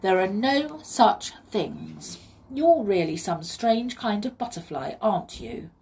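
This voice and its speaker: A woman's voice: mid-range voice, animated, takes it slowly, vocal fry, darkish tone, recorded from a distance, a little hiss or hum, pitched above the performer's own voice. A woman voicing a sceptical, bossy young boy in a children's story, brusque and insistent. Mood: obstinate, dismissive.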